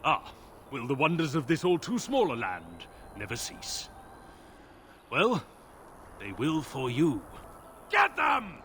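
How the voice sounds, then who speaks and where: Theatrical, flowery fantasy traveller, swooping delivery. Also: male voice, a little high, huge pitch swings, takes it slowly, pauses often, pushed and bright, a little hiss or hum, heavily acted. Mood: mocking, menacing. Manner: grandiose, theatrical, archaic phrasing. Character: flowery-spoken travelling trader, theatrical, with hidden menace. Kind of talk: fantasy video game voice acting.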